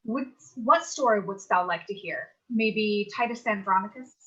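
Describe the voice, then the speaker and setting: Woman as bright-voiced, protective teenage babysitter. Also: mid-range voice, normal speed, muffled recording, natural voice. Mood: attentive. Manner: formal archaic diction, reassuring. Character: earnest, protective, level-headed teenage girl babysitter. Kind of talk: staged reading, mock-Shakespearean dialogue.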